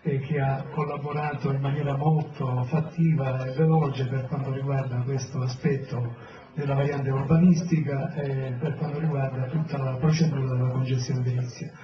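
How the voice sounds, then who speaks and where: A man's voice: medium pitch, level tone, normal speed, crowd noise. A Sicilian man, a town mayor, giving a hesitant public speech full of fillers. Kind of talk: public speech at a press event. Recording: roomy, distant, dull, noisy.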